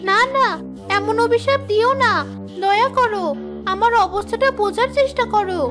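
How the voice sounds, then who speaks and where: A woman's voice: extremely high pitch, moderate pace, over music. A woman voicing a humble, anxious young village cook in a fairy-tale cartoon, earnest and polite. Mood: pleading.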